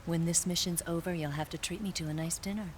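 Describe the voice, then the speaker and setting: An actress playing a cool, confident young female spy in a video game, teasing and smooth. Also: fairly low pitch, fairly even intonation, fast talker, lightly acted. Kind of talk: video game cutscene acting. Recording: noisy ambient background.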